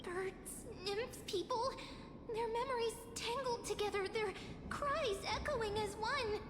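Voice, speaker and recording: A woman's voice: very high voice, measured pace. A woman voicing a sorrowful young girl in a video game, solemn and poetic, haunted by what she hears. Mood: haunted, sad. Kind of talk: dramatic video game voice acting. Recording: echoey reverb over noisy ambience.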